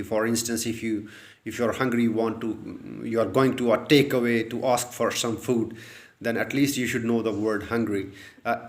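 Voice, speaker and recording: A man from Pakistan with a Pakistani accent, a native Urdu speaker, giving earnest English-learning advice with frequent "uh" fillers and repeated points. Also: medium pitch. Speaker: English tutor. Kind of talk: advice talk to camera.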